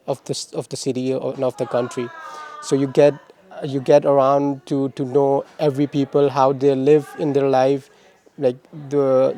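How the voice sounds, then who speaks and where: A young man from Pakistan answering interview questions about his studies, speaking in a halting way with many restarts and repeated words. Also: mid-range voice, dark tone. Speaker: interviewee, former master's student.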